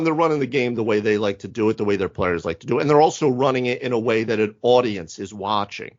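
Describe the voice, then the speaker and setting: A man co-hosting a casual tabletop gaming podcast, explaining at length and with emphasis. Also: lowish voice. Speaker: podcast co-host. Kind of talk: casual panel discussion on tabletop role-playing. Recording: dull, band-limited audio.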